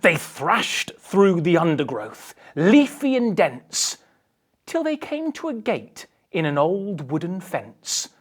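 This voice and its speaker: A woman reads a picture book to children in a theatrical storytelling style. Her voice sits a little low and swoops widely in pitch, and she delivers the rhyming story slowly, leaning into the drama. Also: sing-song. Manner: theatrical, dramatic emphasis with hushed passages. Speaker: storybook reader. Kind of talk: animated children's picture book read-aloud.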